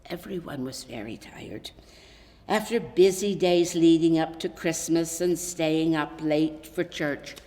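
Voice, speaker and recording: A woman reading a children's story aloud in an expressive, gently dramatic storytelling manner. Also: deep voice, slow pace. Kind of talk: scripted children's story narration. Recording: echoey hall with long reverb.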